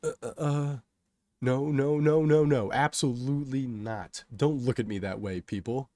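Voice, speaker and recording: Brief: selfish young cynic, played by a male storyteller, whiny and incredulous, raised pitch, close dry sound. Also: moderate pace, dry recording, natural voice. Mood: panicked refusal. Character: selfish, cynical, panicky young man thinking to himself.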